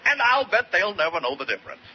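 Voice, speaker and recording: A jovial middle-aged businessman hatching schemes in an old radio comedy. His hearty, confiding delivery swings widely in pitch. The recording is dull and faintly noisy, like an aged broadcast. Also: sing-song, quick pace. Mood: amused, plotting. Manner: hearty, conspiratorial. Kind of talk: old-time radio sitcom acting.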